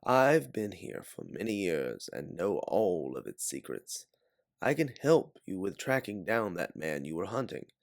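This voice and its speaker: Mysterious raider guide voiced by a man, knowing and eager, raised pitch, animated audiobook read, dry close sound. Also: unhurried, creaky, dry recording, heavily acted. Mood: knowing, persuasive. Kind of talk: audiobook character voice.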